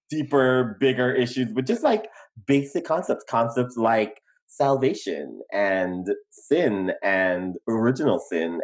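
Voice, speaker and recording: A young adult man from Guyana with a Guyanese accent, telling his life story in casual podcast chat, animated and quick to laugh. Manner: animated, laughs easily, emphatic pitch jumps. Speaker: podcast co-host.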